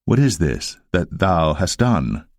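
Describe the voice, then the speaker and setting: Man as deep, stern, almighty God. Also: very animated, no room sound, clean studio sound, exaggerated voice. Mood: stern, judging. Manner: solemn, measured, authoritative. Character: almighty God, stern commanding male judge.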